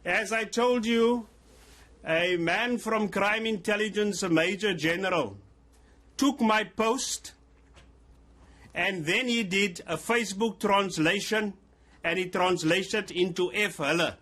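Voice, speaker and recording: Man, afrikaans-accented south african english, emphatic, deliberate, point by point, slightly high voice. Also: bright, forceful tone. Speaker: interviewee, police officer. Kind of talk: formal TV interview.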